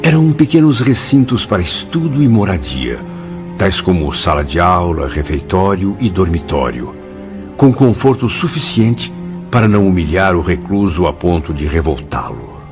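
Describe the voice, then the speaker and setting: A man's voice: low-pitched, big pitch swings, normal speed. An actor playing a grave, melancholy spirit writer recounting his memories in a radio drama, in reflective, literary phrasing. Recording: dull audio under loud music.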